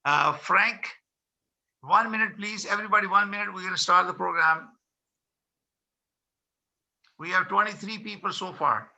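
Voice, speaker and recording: A man's voice: sits high, moderate pace, creaky, pushed and bright. A man hosting an online conference over a video call, moderating and calling on participants.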